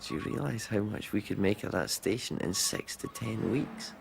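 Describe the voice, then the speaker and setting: A man talks in a fairly low, crackly voice. He is a dryly upbeat salesman and patient in a naturalistic drama, with faint ambience around him. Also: normal speed, gravelly. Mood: wry, upbeat.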